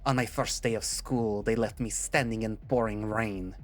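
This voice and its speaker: A man's voice: fairly low pitch, steady tone, normal speed, faint music behind, natural voice. A man voicing a bitter, vain chameleon villain in a melodramatic, pompous way, with a put-on French accent. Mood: wounded, brooding. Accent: mock French.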